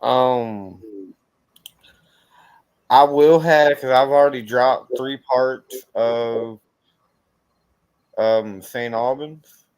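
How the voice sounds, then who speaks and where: A man talks casually as a channel host. His medium-pitched voice is smooth and clear, and it sounds dry with very little room around it. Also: fairly even intonation, drawn-out words, pauses often, a little mellow, no room sound, low-quality recording. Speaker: host of a paranormal investigation channel. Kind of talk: casual livestream chat.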